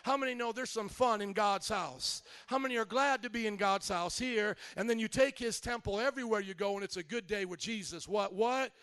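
Brief: male pastor, high voice, dry close recording, lively call-and-response sermon. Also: clear, resonant tone, no echo. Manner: energetic call-and-response preaching; slows and stretches words for emphasis.

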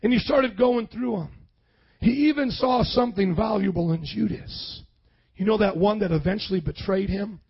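A hoarse young man preaching a sermon, energetically calling out to the congregation for responses. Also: sits high, normal speed. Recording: dull lo-fi sound.

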